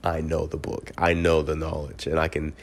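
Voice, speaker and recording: Congested man, extremely deep and fairly monotone, earnest solo vlogger who restarts words. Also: moderate pace, creaky. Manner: earnest, often restarts words mid-syllable. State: congested from a cold. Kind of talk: casual personal video reflection.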